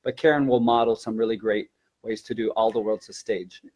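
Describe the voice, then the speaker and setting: A man's voice: lowish voice, steady tone, brisk, darkish tone. A man speaking as a teacher trainer in a conversational webinar, explaining things and voicing example classroom instructions. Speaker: teacher trainer, elementary language teacher.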